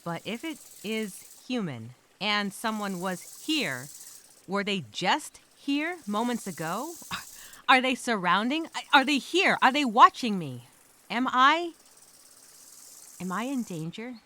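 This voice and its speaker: A woman's voice: on the high side, very animated, takes it slowly, pushed and bright, full character voice. A woman voicing an anxious, rambling castaway who narrates a story as a dramatic, theatrical first-person journal. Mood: nervous, questioning. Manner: theatrical, overthinking, repeats herself, self-questioning.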